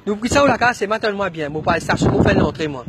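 A man's voice: high voice, lively intonation, rapid-fire. A man confronting people at a roadside, insistent and questioning, recorded on a handheld phone outdoors. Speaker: animal rescuer. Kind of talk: heated street confrontation. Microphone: handheld phone camera. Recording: noisy street phone recording.

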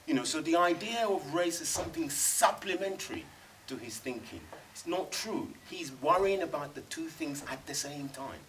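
A man's voice: on the high side, lively intonation, normal speed, few pauses, background noise. A middle-aged man on a panel in a cinema, speaking thoughtfully and emphatically, often restarting his sentences. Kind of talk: panel discussion. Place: cinema screening room with audience.

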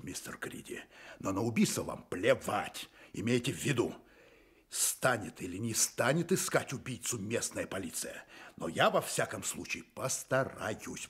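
An actor playing a sardonic, challenging private detective in a radio play. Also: male voice, fairly low pitch, a bit bright, slightly rough, full character voice. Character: defiant, wry male private detective.

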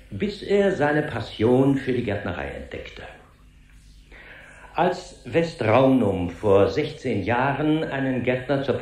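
An actor playing a solemn Secretary-General giving a measured official briefing in a radio drama. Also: male voice, medium pitch, a little mellow, echoey room. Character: solemn male Secretary-General reporting gravely on a staff file.

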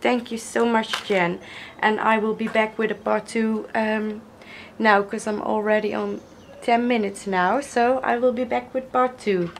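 A young woman, hoarse from a cold, gushing slowly over craft gifts while filming at a table with the camera mic. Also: fairly high pitch, fairly even intonation, slight background noise. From Netherlands. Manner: gushing, slow, admiring, repeats praise. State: hoarse from illness. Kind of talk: casual unboxing vlog. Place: indoors at a wooden table.

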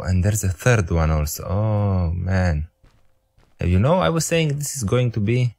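A man's voice: on the low side, gravelly, dark tone, breathy edge. A young man whose first language is Romanian, casually commenting on a game, thinking aloud, joking about his own intellect and pleading with the game. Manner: self-mocking, talks to the game, thinks aloud. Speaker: gameplay commentator.

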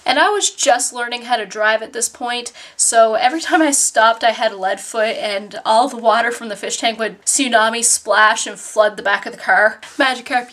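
High-voiced young Canadian woman vlogger. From Nova Scotia, Canada. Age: 20s. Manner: animated, self-deprecating, sardonic. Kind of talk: casual storytelling to camera. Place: small bedroom, indoors.

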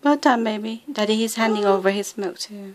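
A mother filming in a dim child's bedroom with her phone, softly encouraging her toddler to say good night. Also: medium pitch, quick pace, crowd noise. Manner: soft, encouraging, coaxing a toddler. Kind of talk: casual family chat.

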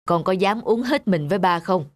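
A woman voicing a middle-aged father in an animated moral tale, patient, protective and earnest. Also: mid-range voice, moderate pace, natural voice. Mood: conciliatory.

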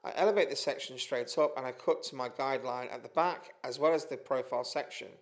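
A man with a British accent calmly narrating a step-by-step hairdressing tutorial. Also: mid-range voice, brisk. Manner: calm, methodical instruction with small restarts. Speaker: hairdressing educator. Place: studio with a plain grey backdrop.

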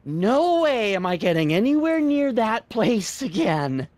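A man's voice: sits high, big pitch swings, a little slow, a little mellow. An actor playing a timid, nervous young American man in a video game, speaking hesitantly.

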